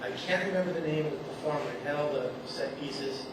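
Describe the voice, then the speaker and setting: A man with a slightly high voice tells a loose, self-mocking story from a stage and often laughs at his own jokes. He sounds far from the mic, in a hall that echoes heavily, with a steady hiss of noise behind him. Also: fairly quick. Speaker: stage storyteller at a community event. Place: theater stage before a live audience.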